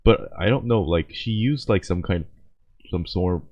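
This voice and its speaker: Low-voiced man reacting casually. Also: a little mellow, breathy edge, dry recording, dull audio. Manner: hesitant, halting, repeats words. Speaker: video creator reacting to game cinematics.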